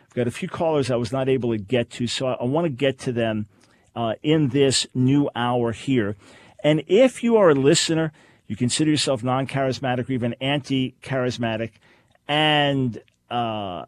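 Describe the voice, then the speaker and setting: A man hosting a call-in radio show, talking in an animated, preacherly way and acting out quoted voices. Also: medium pitch, dry recording. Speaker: radio host. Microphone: studio microphone.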